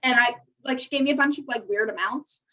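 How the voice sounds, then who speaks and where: A woman's voice: fairly high pitch, fairly quick, sharp tone. A young American woman co-hosting a podcast, chatting casually in an informal, encouraging way, on a muffled, distant recording. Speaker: podcast co-host. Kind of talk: casual chat. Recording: muffled, distant-sounding microphone.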